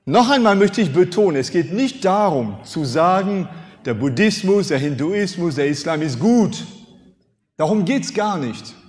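A man in his fifties whose first language is French preaches in a fairly high voice. He pushes points with sudden rises in loudness and rhetorical questions. His voice carries a long reverb, as if from a large hall. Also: a little slow. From France. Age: 50s. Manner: animated, rhetorical questions, emphatic swings in loudness. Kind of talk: sermon.

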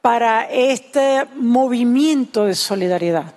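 A woman interpreting a sermon line by line, brisk and even, sometimes correcting herself mid-phrase. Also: on the high side.

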